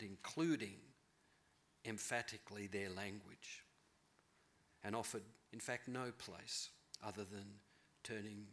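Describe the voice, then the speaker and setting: Middle-aged guy giving a keynote, lowish bright voice, thinks aloud and rephrases. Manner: thoughtful, measured, rephrasing as he speaks. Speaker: keynote speaker, novelist. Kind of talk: lecture at a podium. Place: large auditorium stage. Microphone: podium mic.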